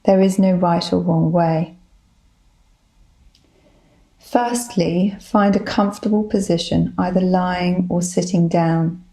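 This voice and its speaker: Slow, calm woman guiding meditation. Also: lowish voice. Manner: gentle, calm, soothing instruction. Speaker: psychologist, guided meditation leader. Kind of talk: guided body scan meditation.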